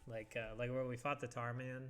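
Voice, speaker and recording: A man's voice: mid-range voice, flat delivery, a little fast, fairly bright tone. A young man with a congested, scratchy throat, rambling through casual gameplay commentary with lots of fillers and occasional coughs. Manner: rambling, hesitant with many fillers. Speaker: let's play host. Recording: game music behind voice.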